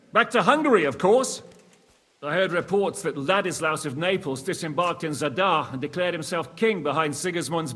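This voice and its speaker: An actor playing a crude, hearty, shrewd medieval war commander in a video game cutscene, speaking emphatically. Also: male voice, sits high, a bit bright, in a large hall. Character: boisterous, foul-mouthed medieval war commander, shrewd and gloating.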